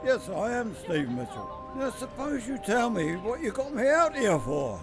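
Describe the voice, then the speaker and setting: An actor playing a wisecracking secret agent in an amateur radio drama, wry and unflustered. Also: male voice, extremely high pitch, wildly swooping pitch, unhurried, music in the background, full character voice. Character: wisecracking male secret agent, cool under threat.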